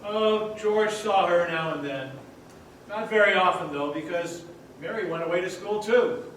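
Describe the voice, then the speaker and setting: A man's voice: high-pitched, measured pace, fairly bright tone. An actor playing a patient male storyteller, narrating in an old-time radio play. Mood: explaining. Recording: echoey theater, distant mic, faint noise.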